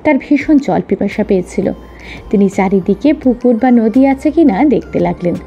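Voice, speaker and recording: A woman's voice: mid-range voice, expressive, moderate pace, highs cut off. A woman narrating a scripted children's story as a storyteller. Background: soft background music.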